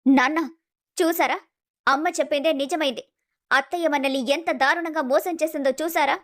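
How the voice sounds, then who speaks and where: A woman's voice: high voice, fairly even intonation, a little fast, a bit bright. A woman playing a determined young daughter, eager and emphatic, in a dramatized moral story. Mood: bitter. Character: determined, encouraging young daughter of mill owner.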